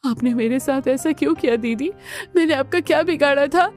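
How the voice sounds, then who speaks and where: A woman's voice: high voice, normal speed, darkish tone, lightly acted. A woman voicing a meek, innocent young bride in a Hindi cartoon, plaintive and timid. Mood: tearful. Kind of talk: Hindi family cartoon voice acting.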